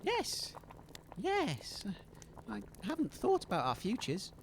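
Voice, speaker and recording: Man playing an anxious DJ, stammering, swooping pitch, comedy audio drama, noisy background. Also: huge pitch swings, takes it slowly, vocal fry, heavily acted. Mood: hesitant, worried. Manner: stammering, anxious, high-strung. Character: nervous, hesitant male radio DJ on the run.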